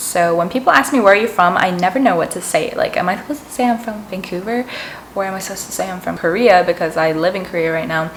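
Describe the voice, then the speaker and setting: A woman in her twenties, raised in Canada, chatting casually and brightly to viewers while tasting desserts. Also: on the low side, fast, a little hiss or hum, poor audio. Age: 20s. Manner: bubbly, chatty, eating between sentences. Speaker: vlogger. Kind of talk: casual mukbang chat.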